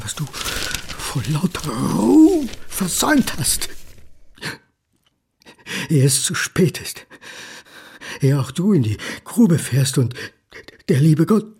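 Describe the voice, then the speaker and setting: A man's voice: medium pitch, darkish tone, slight background noise. An actor playing a lonely, hard-drinking son who speaks to his father in a sardonic, dramatic monologue. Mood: sardonic, accusing.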